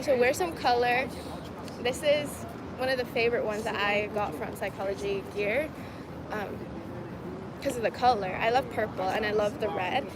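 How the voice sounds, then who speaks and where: A fairly high-voiced woman streams outdoors in a city at dusk. She chats casually with her viewers and reads their comments aloud. Clear traffic and street noise runs behind her. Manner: chatty, laughs easily, talks to viewers as "guys". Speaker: vlogger reading live chat. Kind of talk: casual livestream chat.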